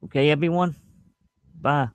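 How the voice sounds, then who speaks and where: A man's voice: a little high, fairly even intonation, normal speed, no echo. A man chatting casually to online viewers in a hesitant way, repeating himself as he goes. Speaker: amateur singer and fan fiction reader.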